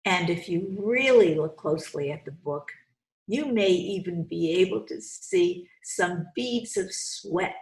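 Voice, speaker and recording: A woman hosting a children's storytime, speaking deliberately and instructively as she reads and explains, often repeating phrases for young listeners. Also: on the low side, animated, darkish tone. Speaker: host reading children's books aloud. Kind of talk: storytime read-aloud with commentary to children. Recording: roomy, echoey sound.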